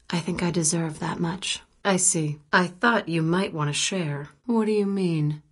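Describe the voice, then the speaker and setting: Husky, wry woman journalist. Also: lowish voice. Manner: dry, teasing, conversational. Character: wry, probing, guarded woman journalist in a spy thriller. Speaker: video game voice actress. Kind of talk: naturalistic video game dialogue acting.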